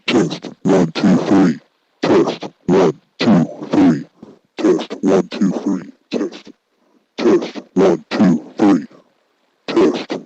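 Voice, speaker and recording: A man's voice: low-pitched, steady tone, extremely slow, muffled recording, background noise. A man doing a casual tech demonstration and mic test, his voice sent through a voice changer and speaker. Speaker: tutorial host testing a voice changer.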